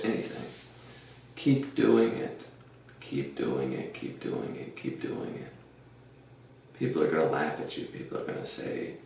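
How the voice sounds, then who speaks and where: A man hosting a casual podcast, with a deep, gravelly voice, rambling through an earnest pep talk full of fillers. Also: a little mellow, recorded from a distance. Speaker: podcast host. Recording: echoey room, off-mic, faint noise.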